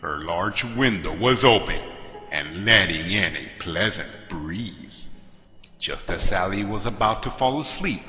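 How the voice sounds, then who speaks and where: A man's voice: sits low, lively intonation, unhurried, sharp tone. A man narrating a scary story in a dramatic, scripted style. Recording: echoey long reverb. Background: music.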